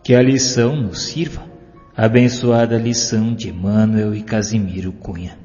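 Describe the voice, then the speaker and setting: A man's voice: lowish voice, fairly even intonation, dark tone, muffled recording. Solemn man narrating in a long hall reverb. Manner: solemn, declamatory, with drawn-out phrasing. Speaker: audiobook narrator. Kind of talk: scripted devotional narration with poetry recitation. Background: clear music.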